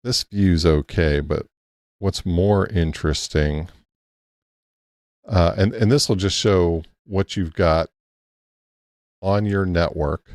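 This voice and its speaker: Male host, low gravelly voice, unhurried and halting, casual live-stream walkthrough, dry close mic. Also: darkish tone, no room sound. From Texas. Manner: halting, thinks aloud with many restarts and fillers.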